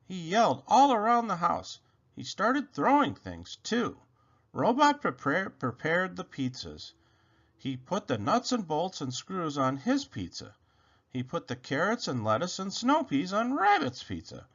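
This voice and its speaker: Very animated, slow-paced male storybook reader. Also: high voice, highs cut off. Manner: expressive, dramatic storytelling. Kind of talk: children's read-aloud narration.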